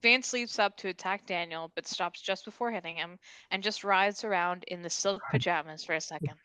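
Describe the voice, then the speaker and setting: A woman's voice: fairly low pitch, fairly quick, pushed and bright, smooth, no echo, poor audio. A woman reading out stage directions as scripted narration at a table read.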